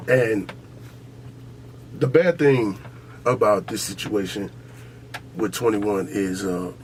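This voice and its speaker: A man's voice: sits low, darkish tone, a little hiss or hum. A man hosting a casual podcast, arguing in a brash, opinionated, profane way. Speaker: podcast host. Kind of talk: casual, heated sports and culture debate.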